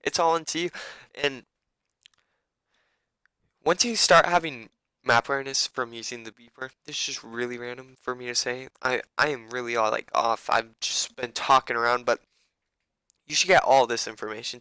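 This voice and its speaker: Young man, raspy, crisp, rambling, swearing, casual coaching. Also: medium pitch, moderate pace, bright, forceful tone, dry recording, low-quality recording. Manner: loose, profane, rambling. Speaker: game coach.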